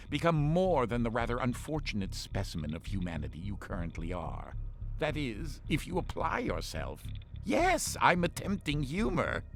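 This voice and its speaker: An actor playing a pompous, sarcastic artificial intelligence ship companion for a game voice pack, full of condescending disdain. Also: male voice, medium pitch, creaky. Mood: mocking. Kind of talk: scripted game voice-pack acting.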